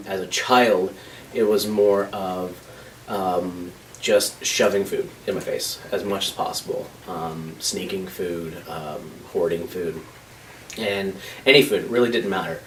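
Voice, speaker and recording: A man in his thirties speaks in a lowish voice, hesitant and full of fillers, as in a documentary interview. He sits a little back from the microphone. Faint noise hangs behind him. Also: steady tone, unhurried, recorded from a distance, low-quality recording. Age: 30s. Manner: hesitant, many fillers, soft-spoken.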